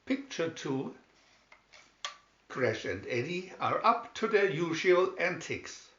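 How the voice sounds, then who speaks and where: Slow, higher-voiced man reading a storybook. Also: big pitch swings, fairly bright tone. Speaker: reader of picture-story booklets. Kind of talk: slow scripted storybook narration. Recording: dull lo-fi, roomy echo.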